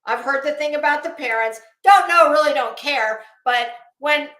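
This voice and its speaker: A woman giving rambling, casual online commentary in a sarcastic, mocking manner, with halting delivery and drawn-out pauses. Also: high voice, a bit bright. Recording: roomy, echoey sound.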